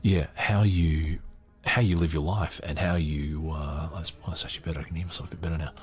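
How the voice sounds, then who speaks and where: A man hosting a podcast, giving casual off-the-cuff commentary in a halting way, often muttering asides to himself. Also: a bass voice, steady tone, soft background music. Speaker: podcast host.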